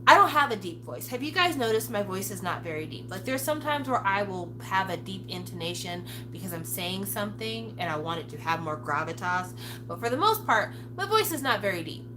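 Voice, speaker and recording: A woman in her forties talks in a candid, chatty way and swears offhandedly. Her pitch sits in an ordinary middle range. A clear steady hum runs behind her voice. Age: 40s. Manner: chatty, frank, swears casually. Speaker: vlogger. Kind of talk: casual chat to camera.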